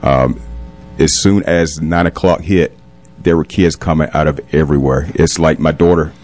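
Male interview guest, middle-aged, very deep bass voice. Earnest, measured, conversational. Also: fairly even intonation, a little mellow. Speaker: interview guest, police captain and community leader. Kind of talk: casual radio interview.

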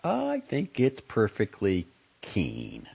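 Sullen expectant father, played by a male narrator: low, gravelly and hoarse, sulky sarcasm, worn-out misery, storybook drama. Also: unhurried, lo-fi sound, exaggerated voice. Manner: flat, sulky, sardonic.

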